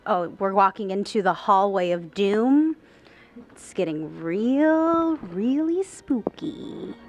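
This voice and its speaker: A woman's voice: mid-range voice, lively intonation, slow pace, muffled recording. A woman reporting live on location for a morning show, chatty and playful as she interviews guests. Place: on location inside a community theater. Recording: background music behind voice.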